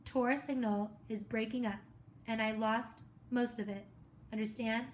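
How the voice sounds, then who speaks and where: A woman voicing a calm adult male radio operator who speaks in clipped, procedural radio talk. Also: fairly high pitch, a little slow, distant mic, slight background noise, lightly acted. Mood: firm, procedural.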